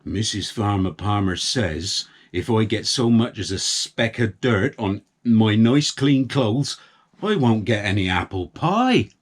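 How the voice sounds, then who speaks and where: A man's voice: fairly low pitch, lively intonation, slow pace. A man voicing a grumbling farmer in a children's story, whining and sulky as he complains. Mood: complaining. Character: grumbling, whiny farmer who hates dressing up.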